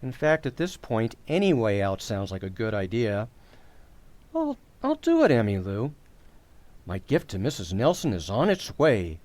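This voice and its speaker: A man's voice: lowish voice, wildly swooping pitch, moderate pace, darkish tone, dry recording. A man playing a bumbling, sheepish husband in an old-time radio comedy, hesitant and stammering, theatrically earnest.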